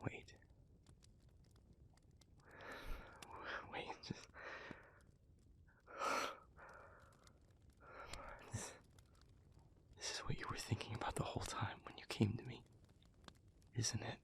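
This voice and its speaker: A man playing an anguished, protective demon pleading with a former lover in an intimate audio roleplay, husky and breathy, halting and stammering in his earnestness. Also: low-pitched, background noise. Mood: dawning dread.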